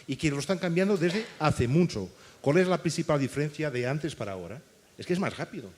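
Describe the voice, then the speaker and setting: A man's voice: a little high, animated, brisk. Portuguese-accented man on a panel. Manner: emphatic, keeps adding "¿vale?". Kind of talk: panel discussion. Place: conference stage.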